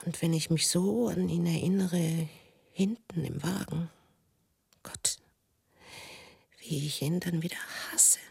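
An actress playing a bitter, wounded wife in a radio drama monologue, husky and breathy, brooding and halting as she corrects herself. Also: on the low side, fairly even intonation, darkish tone, dry recording, studio quality, full character voice. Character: bitter wounded wife remembering a faith healer, intense.

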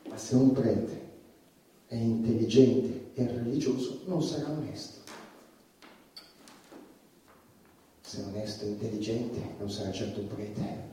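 An actor playing a provocative, irreverent male stand-up comedian in a theatrical monologue, addressing the audience with dramatic pauses and repeated phrases. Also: on the low side, fairly even intonation, dark tone, a little hiss or hum, natural voice. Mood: wry, pointed. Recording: echoey room, distant mic, music behind.

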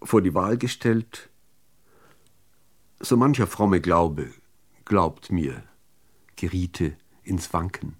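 A man reciting literary poetry, phrasing it in a measured, deliberate way with expressive emphasis. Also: sits low. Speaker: reader of poems.

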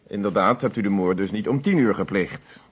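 A man playing a composed, methodical police inspector in a Dutch radio play, speaking in a formal, measured way. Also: lowish voice, breathy edge. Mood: stern, official. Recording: dull lo-fi old radio drama recording.

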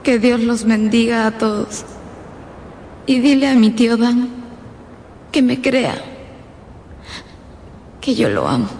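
Slow, mellow, remorseful young woman. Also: on the high side, lively intonation, slight background noise. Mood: sorrowful, tender. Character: tender, remorseful young runaway woman reading her farewell. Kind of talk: radio drama monologue.

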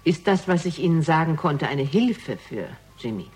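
A frank middle-aged widow, husky voice, swings up and down a lot, radio drama. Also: female voice, low voice, animated, moderate pace, darkish tone. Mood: frank, resigned. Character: candid, quick-witted middle-aged widow of a poet. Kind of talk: 1960s German radio crime drama.

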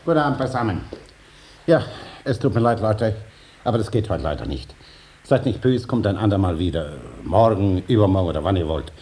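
An actor playing a cunning, eager farmer with a plain-spoken, evasive manner in a 1950s German radio play. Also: male voice, lowish voice, expressive, fairly quick, a little mellow, natural voice. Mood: hasty, apologetic. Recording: faintly hissy 1950s radio recording.

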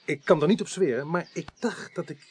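A man's voice: on the high side, fairly quick, a little mellow, slight background noise, natural voice. A man acting in a Dutch radio play as a cautious, plain-spoken senior police detective with a hesitant, conversational delivery. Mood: practical, hesitant.

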